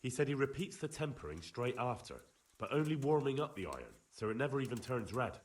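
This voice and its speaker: Earnest young medieval lad. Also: male voice, medium pitch, very animated, brisk, slightly rough. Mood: matter-of-fact. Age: young adult. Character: earnest, polite young medieval blacksmith's son, inquisitive and plain-spoken. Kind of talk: video game voice acting.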